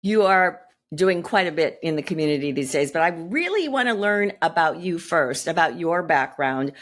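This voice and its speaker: A woman hosting a radio show, reading a polished introduction and asking her guest questions in a measured way. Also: low-pitched, lively intonation. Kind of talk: scripted introduction and interview questions.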